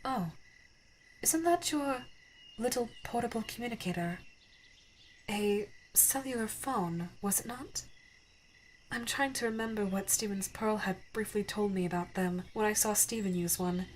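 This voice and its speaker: A woman's voice: medium pitch, a little hiss or hum, natural voice, rough recording. A woman voicing a regal female alien gem in an ASMR audio roleplay, formal and curious, tender and easily awed. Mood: puzzled, curious. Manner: formal, wondering, halting when moved.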